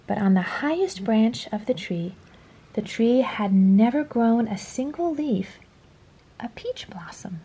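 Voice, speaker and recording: A woman reading a children's story aloud in a dramatic storytelling style, dropping to a hush at phrase endings. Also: a little high, expressive, takes it slowly, husky, lo-fi sound. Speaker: storybook reader. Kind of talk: expressive children's read-aloud narration.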